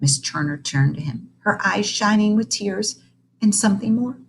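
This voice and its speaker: A woman reading a children's story aloud in an expressive, dramatic way, stretching key words. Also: medium pitch, normal speed, vocal fry. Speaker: read-aloud narrator.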